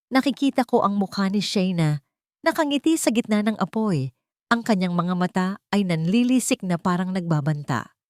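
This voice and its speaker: A woman's voice: lowish voice, darkish tone, dry recording, studio quality. A woman reading a scripted dramatic story as an audiobook narrator.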